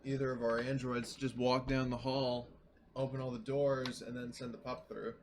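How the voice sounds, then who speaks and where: A man's voice: medium pitch, little pitch movement, normal speed, a little hiss or hum. A man chatting casually as a player in a tabletop roleplaying game, explaining his options in an informal, matter-of-fact way.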